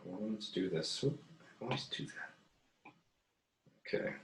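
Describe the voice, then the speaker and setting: A man giving a casual drawing tutorial as an art teacher, thinking aloud in a halting, muttering way while he works. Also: on the low side, expressive, a little hiss or hum. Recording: roomy echo, distant microphone.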